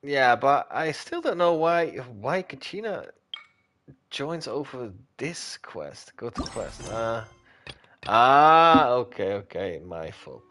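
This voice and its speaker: A man's voice: medium pitch, sing-song, slow pace, a bit bright, slight background noise. A man streaming a video game with casual, offhand commentary, muttering to himself as he plays. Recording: game music behind the voice.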